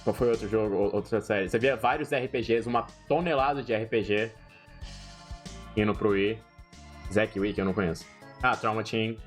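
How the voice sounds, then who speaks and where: A man's voice: fairly low pitch, fairly even intonation, moderate pace, faint music behind. A young man with a Brazilian Portuguese accent, a streamer giving rambling, profane, slangy gaming commentary.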